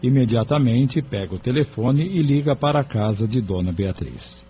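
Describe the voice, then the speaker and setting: A man's voice: mid-range voice, expressive, normal speed. A man reading scripted narration for an audio drama. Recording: dull recording with faint background noise.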